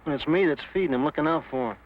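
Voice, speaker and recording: A man's voice: fairly high pitch, rapid-fire, lightly acted. A man playing a touchy, defensive gambler in a Western drama, talking in a folksy, confrontational way. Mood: bitter, intense. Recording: dull lo-fi recording, faint noise.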